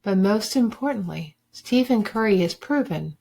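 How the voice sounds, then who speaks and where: A woman reading a children's book aloud like a teacher, with deliberate, emphatic phrasing for young listeners. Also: mid-range voice, expressive, gravelly, dark tone. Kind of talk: expressive storybook read-aloud.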